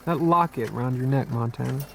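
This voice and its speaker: An actor playing a mild, detached war veteran held captive, speaking in a subdued, plain way in an intimate radio drama. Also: male voice, medium pitch, moderate pace, vocal fry, a bit bright, a little hiss or hum.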